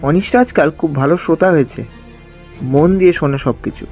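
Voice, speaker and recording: A man telling a detective thriller in the first person, in an expressive, conversational storytelling style. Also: fairly high pitch, brisk, soft background music. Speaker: narrator of an audio story.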